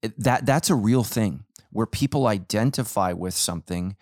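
Low-voiced American man, casual podcast talker. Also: normal speed, a little mellow, no echo, studio quality. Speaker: podcast host and pastor. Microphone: close podcast microphone.

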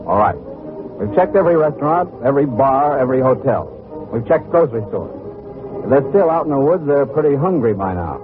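A man's voice: medium pitch, sing-song, over music, natural voice. Man as weary federal agent, tinny vintage radio. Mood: methodical. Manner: measured, businesslike reasoning. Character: weary, methodical male FBI agent. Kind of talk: old-time radio crime drama acting. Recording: tinny old radio recording.

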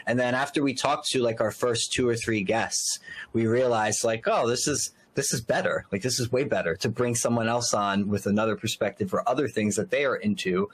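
A man's voice: medium pitch, a little fast, poor audio. A man in his early thirties hosting a podcast interview, talking casually and earnestly with lots of fillers and loose, rambling sentences. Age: 30s. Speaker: podcast host.

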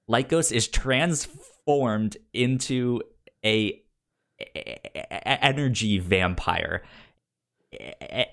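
A podcast co-host chatting casually about comics, with a stammer that often repeats sounds and words. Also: mid-range voice.

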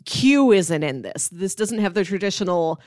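A woman's voice: lowish voice, animated, normal speed, darkish tone, dry recording, clean studio sound. A woman co-hosting a movie podcast, talking casually in a conversational, wry and joking way.

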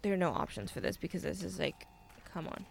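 Low gravelly girl in her 20s hosting trivia, teasing, bit of hiss behind. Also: quick pace. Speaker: trivia quiz host. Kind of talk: casual trivia game with friends.

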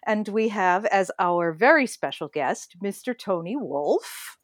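A woman's voice: medium pitch, expressive, unhurried. A middle-aged woman co-hosting a casual podcast, talking with enthusiasm as she introduces her guest. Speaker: co-host.

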